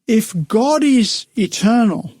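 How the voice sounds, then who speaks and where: A man's voice: extremely high pitch, lively intonation, slow, darkish tone, no echo, clean studio sound. A man co-hosting a faith radio talk, musing aloud and reflective, with plenty of "uh" and "you know". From United Kingdom. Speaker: radio co-host.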